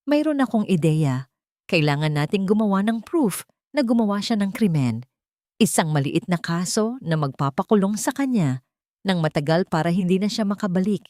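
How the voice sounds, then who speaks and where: A woman's voice: medium pitch, expressive, moderate pace, a little mellow, dry recording, studio quality, lightly acted. A woman narrator voicing a hesitant, guilt-ridden male doctor in a dramatic audio story, uneasy and resigned. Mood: resigned, plotting.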